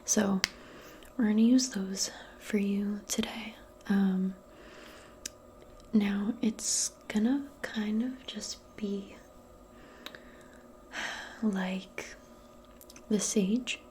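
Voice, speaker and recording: Woman, mellow hushed voice, unhurried, breathy whispering, intimate ASMR delivery, casual and gentle. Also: medium pitch, fairly even intonation, a little hiss or hum. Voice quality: soft, breathy, often dropping into a whisper. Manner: gentle, casual, hesitant chatter aimed closely at the listener. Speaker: ASMR performer role-playing as a modern witch. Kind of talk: soft-spoken ASMR role play, personal attention.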